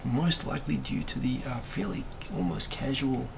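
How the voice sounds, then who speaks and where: Man, raspy and heavily gravelly, loose rambling live-stream host, steady hiss behind. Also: medium pitch, normal speed. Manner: rambling, many fillers and asides. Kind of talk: casual live-stream chat reading comments.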